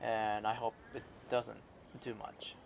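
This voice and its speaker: A man streaming a game, giving casual, halting commentary in short, repetitive reactions. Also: lowish voice, little pitch movement, creaky, very noisy. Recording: game music behind the voice.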